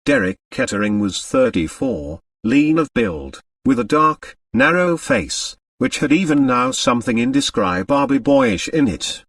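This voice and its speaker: A man narrates a literary audiobook from a script. His mid-pitched voice moves with very lively intonation. The microphone seems a little way off, though the room adds little echo. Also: a little slow, hardly any pauses, studio quality, distant mic.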